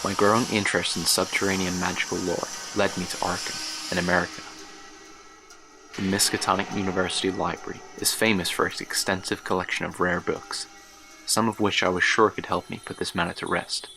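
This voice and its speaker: An actor playing a haunted, sleepless scholar in a horror audio drama, delivering a brooding, measured first-person monologue. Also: male voice, low voice, little pitch movement, gravelly, over music, poor audio. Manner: brooding, measured, literary phrasing.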